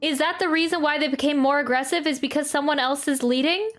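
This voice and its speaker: A woman's voice: high voice, fairly even intonation, fast, a bit bright. A woman streaming a game with casual reaction commentary, thinking aloud and repeating phrases, game music behind her.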